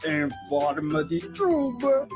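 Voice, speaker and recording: A man voicing a playful cartoon science tutor, with a Cape Verdean accent and a sing-song, deliberate teaching delivery. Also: very high-pitched, huge pitch swings, over music, exaggerated voice. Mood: instructive. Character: cartoon male science tutor Tuti, slow, playful, explanatory. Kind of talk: children's educational cartoon.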